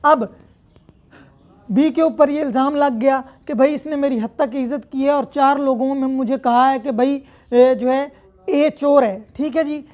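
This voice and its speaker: A woman's voice: high voice, steady tone, a little slow, darkish tone. A woman with a Pakistani accent giving an explanatory law lecture, mixing in English terms and often checking that listeners follow. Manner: didactic, repeatedly checks in with listeners.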